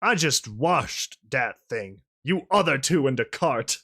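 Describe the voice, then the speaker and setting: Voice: mid-range voice, animated, slow pace, sharp tone, exaggerated voice. A narrator voicing a big, coarse, callous male boss pony in a storybook reading, with a slangy, drawling street-tough delivery. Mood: bossy. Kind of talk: audio storybook character voice.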